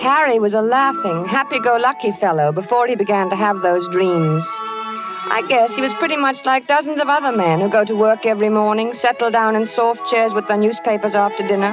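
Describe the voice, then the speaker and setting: An actress as a curious young wife. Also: female voice, a little high, fairly quick, lightly acted. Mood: wistful. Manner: reflective, gently questioning. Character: wife narrating, calm, curious young woman. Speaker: narrator and wife. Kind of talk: 1940s radio acting. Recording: muffled old radio broadcast with music.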